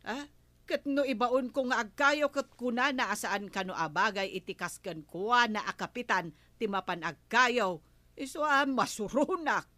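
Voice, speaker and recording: A woman playing a worried, chatty villager in a comedy drama, with hesitant, drawn-out interjections. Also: fairly high pitch, pushed and bright, no echo. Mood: concerned. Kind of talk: broadcast comedy drama acting.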